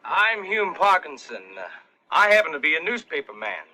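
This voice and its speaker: A man's voice: a little high, very animated, normal speed, sharp tone, slight background noise, exaggerated voice, low-quality recording. A pointed newspaper man. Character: pointed male newspaper man asking questions. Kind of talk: 1960s war film acting.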